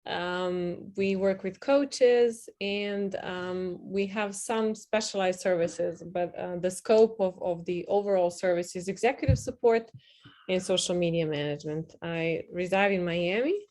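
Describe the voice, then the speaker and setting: A woman's voice: lowish voice, unhurried, darkish tone. Serbian-accented woman, friendly and hesitant. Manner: friendly, hesitant with many fillers. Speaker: agency founder and guest. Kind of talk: casual online networking call. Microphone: video call.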